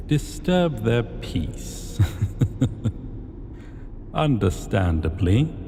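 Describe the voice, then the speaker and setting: In a long cavernous reverb, a man plays an arrogant, possessive dragon king for a fantasy roleplay. The voice is low and dark, with pompous, archaic phrasing that is drawn out very slowly. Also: noisy background, heavily acted. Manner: pompous, deliberate, archaic formal diction. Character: arrogant, possessive male dragon king, ancient hoard-keeper. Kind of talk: scripted fantasy ASMR roleplay.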